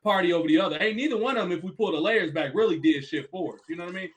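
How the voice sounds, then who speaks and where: Fast-talking blunt man from Chicago. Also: high voice, lively intonation, over a noisy crowd. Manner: blunt, profane, emphatic. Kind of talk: casual livestream chat.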